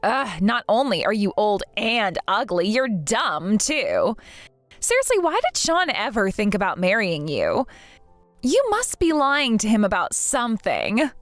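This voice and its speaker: A woman in her late twenties voicing a spiteful, bratty younger sister with a high, sneering, mocking voice. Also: huge pitch swings, unhurried, dry recording, soft background music. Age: late 20s.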